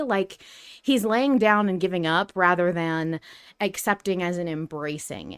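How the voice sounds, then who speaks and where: Smooth-voiced woman in earnest podcast discussion. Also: on the low side, no room sound, clean studio sound. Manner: earnest, emphatic, building arguments point by point. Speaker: podcast co-host. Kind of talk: casual, analytical podcast discussion.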